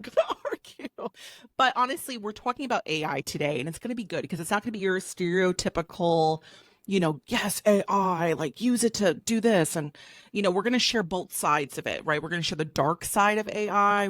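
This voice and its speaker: A woman's voice: medium pitch, a bit bright, no echo, studio quality. A woman co-hosting a podcast, talking in a bubbly, chatty way with lots of fillers. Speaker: podcast co-host. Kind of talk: casual chat.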